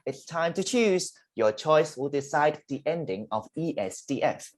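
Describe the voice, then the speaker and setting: A woman's voice: deep voice, expressive, measured pace, darkish tone. A woman playing a slick, smug game-master villain with a mocking showman's manner. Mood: grand announcing. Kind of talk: reader's theatre acting.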